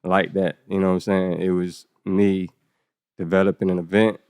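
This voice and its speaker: A man's voice: low-pitched, level tone, normal speed, darkish tone, clean tone, no room sound, studio quality. A man in his thirties with a Southern American accent, chatting as a podcast guest in a laid-back way, often tagging his points with "you know what I'm saying". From United States. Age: 30s. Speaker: podcast guest, event organizer. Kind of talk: casual interview talk.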